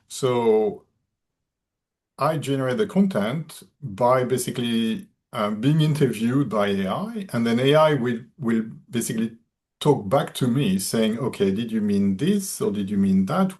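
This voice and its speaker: A man's voice: fairly low pitch, darkish tone. A man whose first language is French, explaining his workflow in a casual online discussion, thoughtful and step by step. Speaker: webinar presenter.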